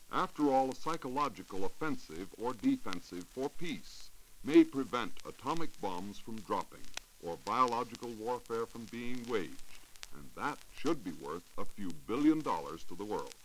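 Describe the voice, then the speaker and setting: A man's voice: on the low side, sing-song, normal speed, low-quality recording. An American man giving an earnest, scripted science report in 1940s radio style. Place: radio studio. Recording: hissy 1940s radio recording.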